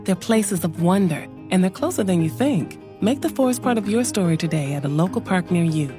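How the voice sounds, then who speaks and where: Female PSA voice: calm, even, lower pitch. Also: fairly quick, over music. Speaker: ad narrator. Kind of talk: scripted public service announcement.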